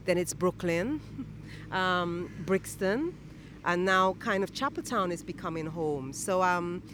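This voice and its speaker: A woman's voice: lowish voice, animated, background noise. Thoughtful woman poet with a lilt. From Guyana. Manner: thoughtful, halting, many restarts and fillers. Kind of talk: reflective interview answers. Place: outdoors near a city church.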